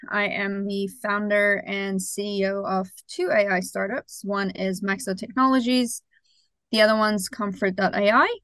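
A woman from Iran in her thirties, a startup founder answering interview questions thoughtfully, with frequent pauses and self-corrections. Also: mid-range voice, a bit bright, clear, resonant tone, dry recording.

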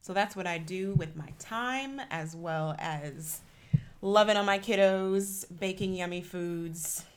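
A woman's voice: on the low side, slow, smooth. A woman from Cleveland co-hosting a podcast, friendly and affirming in casual chat. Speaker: podcast co-host.

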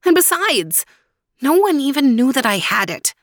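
A woman voicing a grieving young man, speaking high and strained with despair. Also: lively intonation, a little slow, fairly bright tone, no room sound, studio quality. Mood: anguished.

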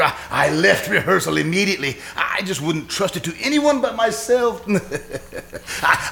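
A man performs a pompous, gushing stage actor in old-time radio drama. His voice is high and gravelly, swooping widely as he hams it up with vain self-importance. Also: a bit bright, full character voice. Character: pompous, effusive, vain theatre star Ronald. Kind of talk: live stage re-creation of 1940s radio suspense.

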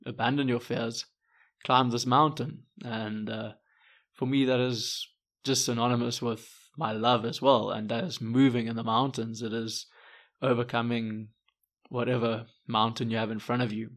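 A man's voice: medium pitch, level tone, normal speed, a bit bright. A man, a podcast co-host and adventure guide, talking in an earnest, reflective way with many fillers. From South Africa. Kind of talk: casual podcast talk.

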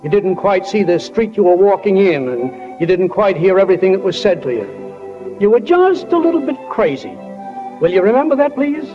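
An actor playing a homespun, wistful stage manager narrating a 1940s radio drama, speaking directly and folksily to listeners. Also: male voice, sits high, very animated, normal speed. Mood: wistful, folksy. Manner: folksy, direct address to audience. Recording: dull 1940 radio recording with music.

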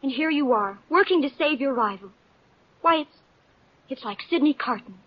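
A woman's voice: sits high, expressive, moderate pace, slight background noise. An actress playing an earnest, naive young woman, gushing and melodramatic, in 1940s radio style. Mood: sentimental. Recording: muffled old radio recording.

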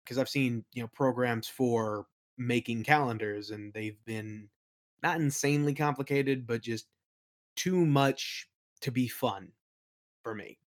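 A man chatting informally as an interview guest, explaining his creative work in a halting, think-aloud way. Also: medium pitch. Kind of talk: casual chat, explaining own creative work.

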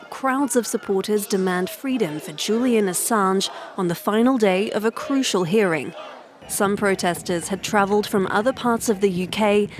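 A woman news reporter reading a scripted broadcast report. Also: mid-range voice.

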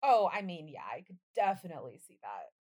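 A woman's voice: lowish voice, sing-song, fairly quick, darkish tone. A woman co-hosting a podcast, chatting in a casual, comedic way with a playful, slangy manner. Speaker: podcast host.

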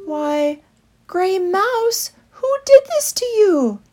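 A woman voicing an earnest, indignant young male wood mouse, speaking high and emphatic. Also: lively intonation, extremely slow, dark tone, heavily acted. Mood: tender, reassuring.

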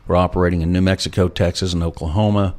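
A man's voice: deep voice, steady tone, fast, a little mellow. A middle-aged man as an interview guest, telling folksy stories and handing out life advice. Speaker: guest entrepreneur. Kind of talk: casual storytelling interview.

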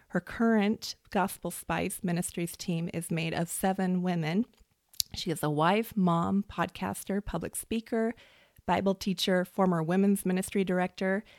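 A woman's voice: lowish voice, dry recording, clean studio sound. A woman hosting a podcast, introducing and chatting casually in a gushing, exclamatory way. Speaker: podcast host. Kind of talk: warm-up introduction and casual chat.

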